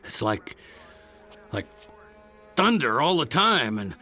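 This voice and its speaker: An actor playing a weary, bewildered cowboy from the Old West in a radio drama, with halting, plain-spoken rustic talk. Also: male voice, mid-range voice, very animated, bright, forceful tone, faint music behind, exaggerated voice.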